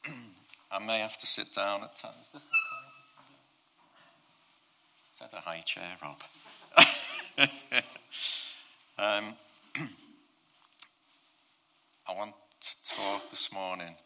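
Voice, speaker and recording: A man preaching to a congregation, with a croaky voice that he mentions himself. He talks earnestly and often repeats and restarts his phrases. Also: on the low side, huge pitch swings, slow pace, long pauses, sharp tone. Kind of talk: prayer and sermon to a congregation.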